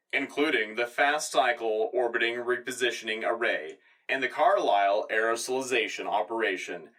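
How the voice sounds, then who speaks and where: A man's voice: mid-range voice, sharp tone, far from the mic, rough recording. A man playing an earnest, patriotic wartime radio anchor, delivering a solemn, declamatory scripted newscast. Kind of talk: scripted propaganda newscast narration.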